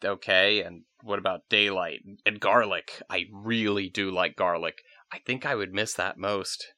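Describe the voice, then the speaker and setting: Man playing a bright, low-voiced, excitable new vampire. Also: unhurried, exaggerated voice. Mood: uneasy, questioning. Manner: plain-spoken, questioning, excitable. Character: newly turned male vampire, uneasy, easily worked up. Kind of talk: amateur audio story dialogue reading.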